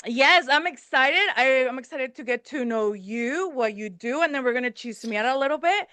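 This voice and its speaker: Bright, chatty Mexican-born woman host. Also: high voice, expressive, normal speed, no room sound. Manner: chatty, mixes Spanish words into English. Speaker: podcast host. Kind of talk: casual chat.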